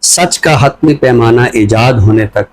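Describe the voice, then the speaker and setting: A man with a Pakistani accent speaking in a measured, deliberate way, phrase by phrase, as in a literary talk or recital. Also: medium pitch. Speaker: literary show presenter reciting a poem and introducing a book.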